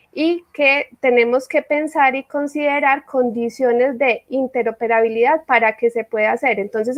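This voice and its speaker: A woman panelist giving a measured, orderly explanation on a panel. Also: high voice, highs cut off. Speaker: panelist, electric mobility specialist.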